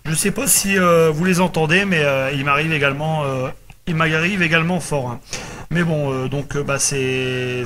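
A man's voice: on the high side, sharp tone. A man from northern France chatting casually as an amateur radio operator, often repeating reassuring little phrases. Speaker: radio operator making contacts. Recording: noticeable background noise from radio set.

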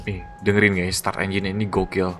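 A man giving excited, slangy gameplay commentary as a gaming YouTuber. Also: low-pitched, fairly quick. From Indonesia. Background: background music.

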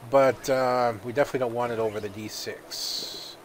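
A man's voice: mid-range voice, moderate pace, background noise. Man narrating gameplay while a little sick. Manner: relaxed, thinking aloud with many fillers. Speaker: gaming video host. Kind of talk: casual let's-play commentary.

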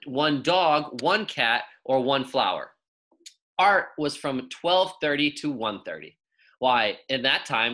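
A young man reading a children's story aloud as a teacher, with expressive, emphatic delivery and drawn-out key words. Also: mid-range voice. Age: young adult.

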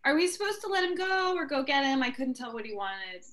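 A timid, unsure daughter in a TV comedy script. Her voice is fairly high and her delivery hesitant, heard over a video call. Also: big pitch swings, brisk, fairly bright tone. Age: young adult. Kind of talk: live table read of a TV comedy script.

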